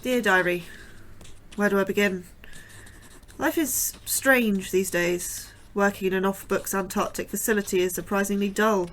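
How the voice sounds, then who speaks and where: A woman playing an anxious young female astrobiologist, narrating her diary with wry, deadpan understatement. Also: medium pitch, normal speed, lightly acted. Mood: dry.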